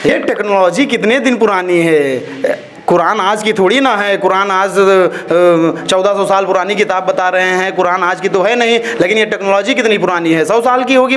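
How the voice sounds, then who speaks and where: High-pitched man arguing his point. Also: fairly bright tone. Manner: argumentative, emphatic, repeats phrases and asks rhetorical questions. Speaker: interviewee, social activist. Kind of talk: opinionated street-interview talk.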